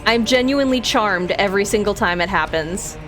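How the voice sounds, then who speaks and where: A woman's voice: medium pitch, fairly quick, fairly bright tone, soft background music. A woman with a Minnesota accent, streaming a game and chatting casually in a rambling way, laughing easily. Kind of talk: casual chat while playing.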